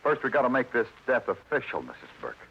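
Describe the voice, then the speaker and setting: An American actor playing a weary, hard-boiled New York police detective in a terse, brooding, sardonic 1940s radio style. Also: male voice, mid-range voice, big pitch swings, fast talker, a little hiss or hum. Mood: terse, probing. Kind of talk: 1940s radio crime acting, dramatic narration. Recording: dull vintage radio recording, faint music.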